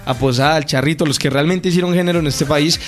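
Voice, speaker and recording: Fast-talking singer. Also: male voice, fairly high pitch. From Colombia. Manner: eager, talks at length, passionate. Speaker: singer guest. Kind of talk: radio interview.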